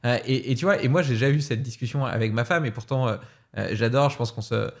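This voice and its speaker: Man, podcast host, mid-pitched voice, reflective, hesitant, casual chat. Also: fast talker. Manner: reflective, many hesitations while thinking aloud.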